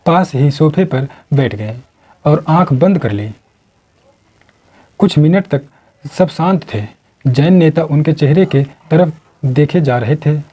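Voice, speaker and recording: A man tells a dramatic story from a script. His voice sits a little high for a male, with a soft, dark and mellow tone that stays rounded. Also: breathy edge. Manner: acts out quoted dialogue within the narration. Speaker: narrator.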